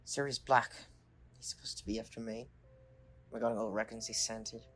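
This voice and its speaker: A man's voice: lowish voice, flat delivery, normal speed, fairly bright tone. A man acting a glum, plain-spoken teenage schoolboy in an audio drama.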